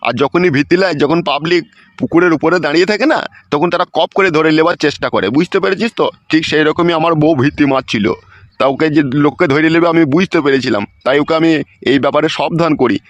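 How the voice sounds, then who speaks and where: A man's voice: on the high side, fast talker, dry recording, close to an everyday voice. A man voicing an aggrieved, boastful old village husband in a comic cartoon, cursing and grumbling in a rural West Bengal accent.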